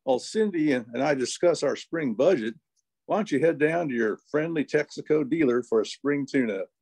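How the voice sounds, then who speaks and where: Man playing a mellow old-time radio announcer. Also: a little high, expressive, fairly quick, creaky, slightly rough, exaggerated voice. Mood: upbeat, presenting. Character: brisk male radio show announcer.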